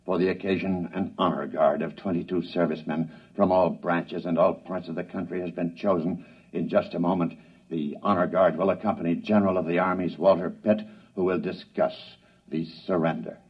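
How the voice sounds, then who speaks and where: An actor playing a grave radio announcer, delivering formal, dramatic bulletins in an old-time radio drama. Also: male voice, low voice, steady tone, fairly quick. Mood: grave, hushed. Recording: dull, rough vintage radio recording.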